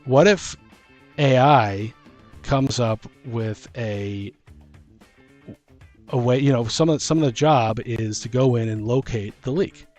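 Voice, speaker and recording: A man's voice: mid-range voice, slow pace, pauses often, a little mellow, soft background music. A man in a casual podcast discussion, talking informally and arguing his point through hypothetical examples.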